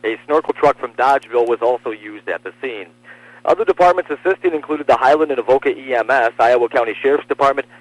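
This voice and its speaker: Casting: American man for scripted radio news, mid-pitched voice, very dry close sound. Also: dry recording, rough recording. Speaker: radio news reporter and anchor.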